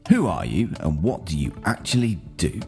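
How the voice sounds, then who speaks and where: Deep-voiced man with dry, teasing sarcasm. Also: sing-song, moderate pace, gravelly, a little mellow, soft background music. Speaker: podcast host, interviewer. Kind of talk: scripted podcast interviewing.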